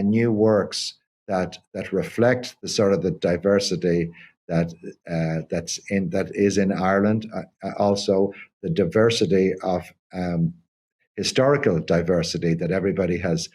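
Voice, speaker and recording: An older man, a theatre company co-founder, talking in an interview in a halting way with many repeated restarts. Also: deep voice, fairly even intonation, a little mellow, slightly rough. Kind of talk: conversational interview.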